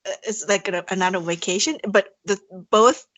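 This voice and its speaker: A woman's voice: medium pitch, normal speed, no room sound, lo-fi sound. A woman from Thailand with a Thai accent, whose first language is Thai, chatting as a podcast guest in a hesitant way with many fillers and restarts and frequent laughs. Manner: laughs often between phrases. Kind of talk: casual interview conversation.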